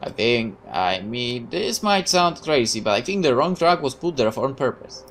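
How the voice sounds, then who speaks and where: A woman reading aloud as a naive young male soldier in a visual novel, speaking low and hesitantly. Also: very animated, normal speed, a little hiss or hum, exaggerated voice.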